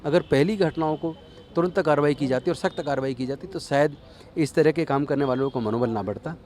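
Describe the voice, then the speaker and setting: A man's voice: a little high, fairly quick, a little mellow. A man speaking earnestly and emphatically in phrases, giving a political statement to a news reporter. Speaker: politician interviewee. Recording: noisy outdoor urban interview.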